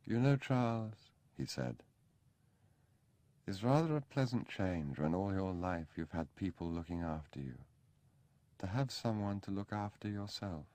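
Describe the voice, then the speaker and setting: A man reading an audiobook, voicing a frail young gentleman who speaks softly and languidly with gentle self-mockery. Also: deep voice, expressive, measured pace, dry recording, pitched above the performer's own voice. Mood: wistful.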